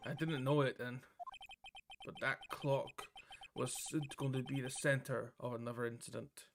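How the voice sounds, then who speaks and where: A man voicing an earnest, inwardly wry young male lawyer from a visual novel, a little halting. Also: mid-range voice, steady tone, creaky, music in the background, lightly acted. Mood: reflective. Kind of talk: visual novel read-aloud.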